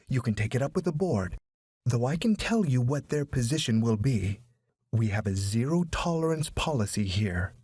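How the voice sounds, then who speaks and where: Man voicing a stern, husky school principal. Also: medium pitch, a little mellow, dry recording, clean studio sound. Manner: authoritative, firm, formal.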